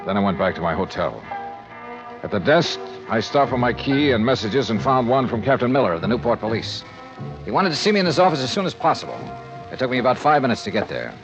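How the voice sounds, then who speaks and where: An American actor playing a cool, courteous, probing insurance investigator who also narrates, in 1950s radio detective drama style. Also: male voice, mid-range voice, big pitch swings, fast talker, over music. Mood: brisk narration. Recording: muffled, noisy old radio recording.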